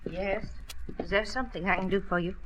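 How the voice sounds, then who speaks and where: A woman's voice: medium pitch, brisk, fairly bright tone, lightly acted. A woman playing a polite, unflappable sanitarium receptionist in an old radio crime drama. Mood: prim, unflappable. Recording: faintly noisy old radio recording.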